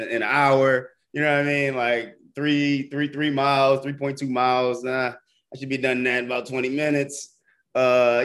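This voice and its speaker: An American man in his mid-thirties talks casually in conversation. His voice sits at a medium pitch, and he tends to double up words and phrases as he works toward his point. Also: fairly bright tone. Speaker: podcast guest, first-time triathlete. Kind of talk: casual chat.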